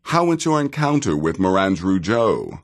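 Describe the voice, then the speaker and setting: An actor playing a seasoned, sardonic assassin in a video game, speaking in a measured, dry way with wry menace. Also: male voice, low-pitched, sing-song. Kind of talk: video game character dialogue.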